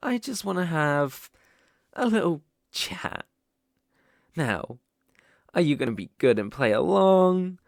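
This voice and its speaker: A man's voice: a little high, very animated, slow, gravelly, long pauses, no room sound, heavily acted. Man acting a cocky British college bully, close-up. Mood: smug coaxing. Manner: teasing, condescending, swaggering. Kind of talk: ASMR roleplay acting.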